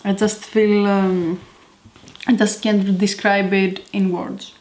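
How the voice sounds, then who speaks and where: A woman from Greece with a Greek accent, chatting casually as she cooks at home, often pausing and searching for words. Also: mid-range voice, flat delivery, a little mellow. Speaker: home cook. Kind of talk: casual cooking video.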